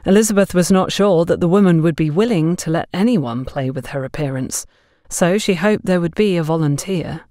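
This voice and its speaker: A woman's voice: lowish voice, normal speed, few pauses, warm tone, no room sound, clean studio sound. A woman reading a scripted story as an audiobook narrator.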